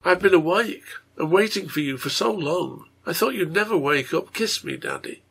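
A man voicing a gentle, pious young girl on her sickbed in a story, speaking quietly and earnestly to her father. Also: high voice, big pitch swings, moderate pace, vocal fry, breathy edge, voice pushed up, exaggerated voice. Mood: cheerful, loving. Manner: quiet, tender, intent delivery.

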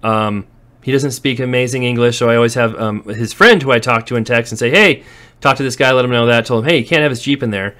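A man's voice: mid-range voice, quick pace, a bit bright. Chatty man narrating a property walkthrough. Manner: chatty, storytelling, occasional laughs. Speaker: real estate investor and landlord. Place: walking through empty warehouses and outdoors.

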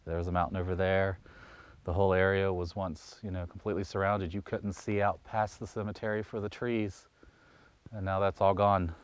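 A man's voice: low-pitched, level tone, normal speed, clean tone. A man in his thirties from West Virginia, a local resident speaking in a documentary interview outdoors. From West Virginia, United States. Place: outdoors in woods.

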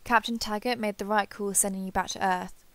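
A woman playing a warm, earnest female alien starship captain in a sci-fi roleplay audio drama. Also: medium pitch, fairly even intonation, fairly quick, no room sound, natural voice. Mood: fond, flustered. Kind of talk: roleplay audio drama, one-sided dialogue.

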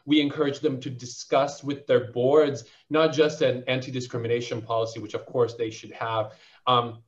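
A man answering a panel question over a video call, his voice at an ordinary middle pitch. He speaks articulately but keeps restarting and filling pauses with um. The audio is somewhat dull and lo-fi. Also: little pitch movement. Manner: articulate, fluent with frequent um fillers and restarts. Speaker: panelist, venture investor.

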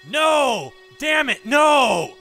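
A man playing a stern, angry gang leader and protective father in a radio play, forceful and commanding. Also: extremely high pitch, sing-song, drawn-out words, creaky, soft background music, exaggerated voice. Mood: anguished rage.

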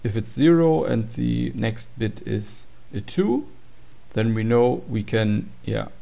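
A man's voice: mid-range voice, animated, slow pace, creaky, warm tone. A man giving an informal, matter-of-fact tutorial walkthrough of program code. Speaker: tutorial presenter.

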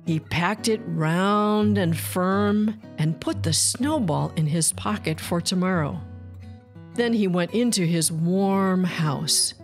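A woman reading a picture book aloud to young children, deliberately, stretching key words with storyteller emphasis. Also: lowish voice. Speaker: children's book reader and channel host. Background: plucked guitar music.

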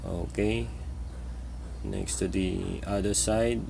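Slow, low-voiced man giving tutorial steps. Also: fairly even intonation, gravelly, noisy background. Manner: terse, halting instructions in short phrases. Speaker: software tutorial instructor. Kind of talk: step-by-step screen tutorial narration.

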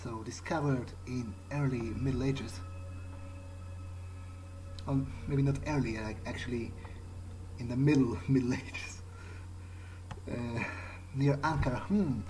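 A man's voice: medium pitch, fairly even intonation, takes it slowly, long pauses, mellow, a little hiss or hum, low-quality recording. A man doing in-character game commentary, thinking aloud in a musing, hesitant way. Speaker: gamer playing in character.

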